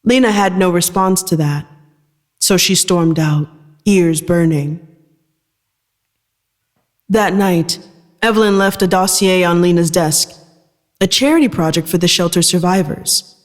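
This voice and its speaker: A man's voice: high-pitched, a little slow, pauses often. A man narrating scripted dramatic fiction in the third person. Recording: long reverb, guitar music behind.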